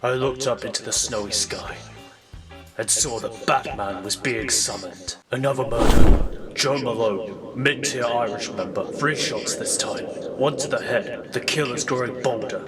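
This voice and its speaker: An actor playing a grim masked vigilante, speaking low, hushed and deliberate, in noir audio drama narration. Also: male voice, distant mic, over loud music, natural voice. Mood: grim, brooding. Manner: slow, grave, deliberate. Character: brooding young masked male vigilante, grim and resolute.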